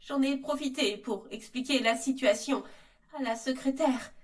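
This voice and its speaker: An earnest young former servant played by a woman testifies formally and deliberately. Her high voice sits a little away from the mic. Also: lightly acted. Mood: grave, recounting. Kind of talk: scripted testimony in mock interview. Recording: voice distant from microphone.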